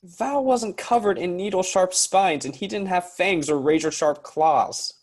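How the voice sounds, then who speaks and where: Young male survivor, acted by a man: slightly high voice, practical, firm, cautionary, sci-fi script read. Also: measured pace. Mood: firm warning.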